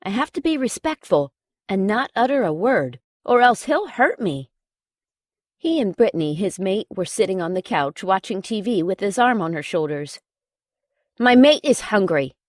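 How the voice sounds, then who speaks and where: A woman's voice: medium pitch, sing-song, normal speed, dry recording, clean studio sound. A woman reading a scripted audiobook as narrator, telling a story in the first person.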